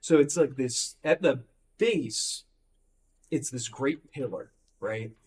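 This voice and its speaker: A hoarse man losing his voice, running a tabletop game and narrating with many ums and restarts. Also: mid-range voice, darkish tone. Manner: halting, full of ums and likes. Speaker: game master.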